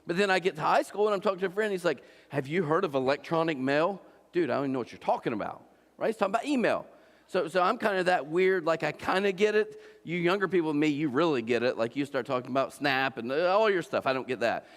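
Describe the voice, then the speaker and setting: Preacher in his 40s from Ohio, slightly high voice, chatty sermon delivery with asides to the crowd, long church-hall reverb. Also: animated, fast. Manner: conversational, repeats and restarts, asks the crowd "right?". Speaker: pastor. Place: church auditorium.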